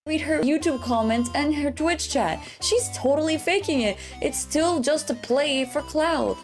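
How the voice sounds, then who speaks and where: A woman's voice: high voice, a little slow. A woman VTuber narrating a scripted video, addressing her viewers in a chatty way. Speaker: VTuber and content creator. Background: background music.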